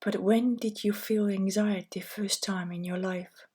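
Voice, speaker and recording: A woman with an Estonian accent, a native Estonian speaker, working as an anxiety counselor and reading course slides aloud in a gentle, soft-spoken way. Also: mid-range voice, fairly even intonation, a little slow, breathy edge.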